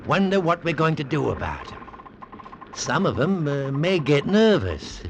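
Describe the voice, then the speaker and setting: A man's voice: fairly high pitch, very animated, unhurried. An actor playing a crafty, scheming male ship's mate, drawling and conspiratorial in a 1940s radio drama. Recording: old radio broadcast with background hiss.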